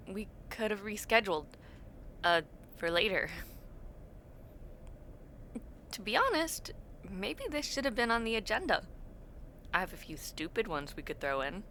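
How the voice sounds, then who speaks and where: A young adult woman playing a friendly, teasing university student in a casual audio roleplay, talking to the listener with expressive reactions and drawn-out words. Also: mid-range voice, slight background noise. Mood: amused, teasing.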